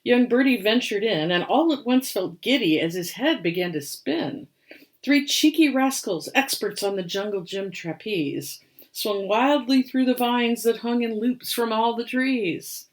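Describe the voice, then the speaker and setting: A woman reading a rhyming picture book aloud as storyteller and host, with expressive, theatrical delivery. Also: a little high, measured pace.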